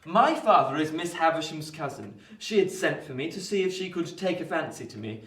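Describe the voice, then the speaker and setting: An actor as an eager, polite young gentleman in a period radio drama. His voice is on the high side and very lively in pitch, with a touch of fluster. The room rings around him. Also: fairly quick. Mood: chatty. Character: genial, apologetic, well-bred young English gentleman. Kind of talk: radio play acting of a Victorian novel. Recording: echoey room.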